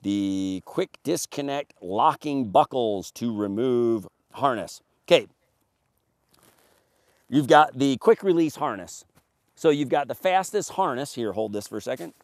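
A man in his forties pitching his gear with a sales-pitch punch, his voice leaping up and down in lively swings. He stands out in an open field, and the sound is dry with no room around it. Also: unhurried, dry recording. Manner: emphatic, salesman-like, reading points off a sheet. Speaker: paramotor maker demonstrating his gear. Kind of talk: promotional product walkthrough. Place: open grassy field outdoors by a fence. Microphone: camera at a few meters, standing and gesturing.

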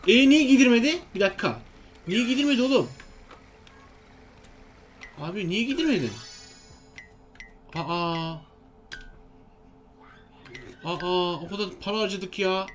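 High-pitched man commentating a game. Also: moderate pace, pauses often, soft background music. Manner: exclamatory, talking to himself and viewers. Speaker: gaming video host. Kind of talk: casual let's-play commentary.

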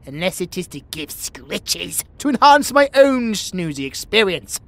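A British man voicing a pompous, bossy but secretly affectionate tiger warrior in a comedic, creaky-voiced audio roleplay. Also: sits high, big pitch swings, measured pace, heavily acted. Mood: haughty. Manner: pompous, grandiose, mock-imperious.

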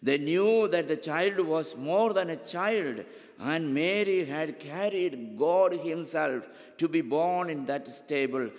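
A middle-aged priest gives a sermon at a pulpit microphone, his fairly high voice carrying into the long reverb of a big church. He talks slowly and deliberately, circling back to repeat key phrases. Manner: slow, deliberate preaching with frequent repetitions. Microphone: pulpit microphone, far camera.

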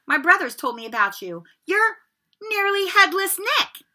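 A woman's voice: very high voice, big pitch swings, measured pace, pushed and bright, pitched above the performer's own voice, full character voice. A woman voicing an eager eleven-year-old boy who blurts out what he knows. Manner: sudden and eager.

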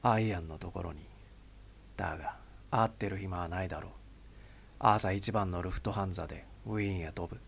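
A man voicing a grim, weary spy boss who speaks tersely and heavily in a gravelly, husky voice. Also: low voice, fairly quick, pitched below the performer's own voice, full character voice. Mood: somber. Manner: heavy, somber, terse.

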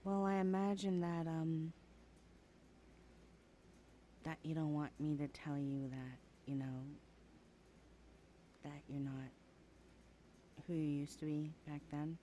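A woman's voice: deep voice, steady tone, a little slow, long pauses, warm tone, a little hiss or hum. A woman playing a chatty, teasing young adult best friend in a casual, hesitant ASMR roleplay. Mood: gentle, hesitant. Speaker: voice actor in audio roleplay. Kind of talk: ASMR roleplay, chatty one-sided conversation.

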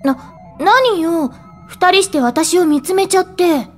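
An eager, easily sulky schoolgirl in an anime drama CD. Her voice is extremely high, cute and expressive. Light music plays in the background. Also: unhurried. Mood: miffed.

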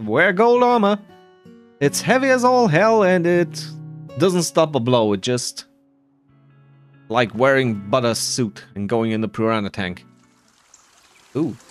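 A man giving casual, rambling commentary on his own gameplay, with muttered, self-deprecating asides. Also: a little high. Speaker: gamer narrating his playthrough. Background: faint game music.

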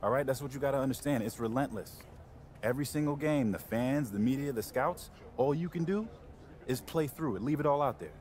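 A man's voice: mid-range voice, brisk, darkish tone, close to an everyday voice. An actor playing a firm, fatherly college basketball coach who speaks in a commanding, motivational way in a video game. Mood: firm, urging. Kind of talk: video game cutscene acting.

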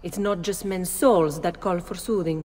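An actress playing a serene, sly, self-assured courtesan nun in a video game. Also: female voice, lowish voice, normal speed, lightly acted. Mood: serene, seductive. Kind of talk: video game cutscene acting. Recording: loud music behind.

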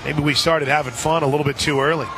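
An American man doing live radio play-by-play commentary of a softball game. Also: fairly high pitch, steady tone, fast talker. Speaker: radio play-by-play sports announcer. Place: outdoor stadium broadcast position. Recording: crowd noise and music behind commentary. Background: stadium crowd and music.

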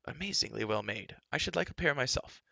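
A man's voice: mid-range voice, animated, brisk, gravelly, pushed and bright, no room sound, voice pushed up, exaggerated voice. A man voicing a boisterous, overeager young prince with a hearty, bouncy delivery.

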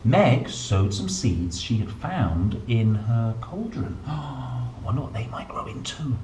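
A man in his thirties reading a picture book to young children, playful and expressive, with chatty asides. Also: mid-range voice, slow pace. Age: 30s. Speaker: storyteller, host reading children's books. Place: indoor room, seated at a table. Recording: roomy echo, some background noise.

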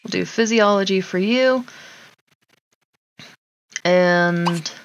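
A woman's voice: medium pitch, drawn-out words, highs cut off, slight background noise. A woman giving casual, wry gameplay commentary, talking to her game characters as she plays. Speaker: gaming streamer.

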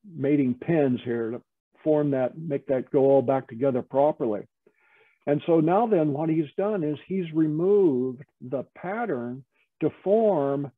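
A man giving an informal, explanatory slide presentation as an instructor, halting and often repeating words. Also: mid-range voice, no echo. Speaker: metalworking studio lead, instructor. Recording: tinny, narrow phone-like call audio.

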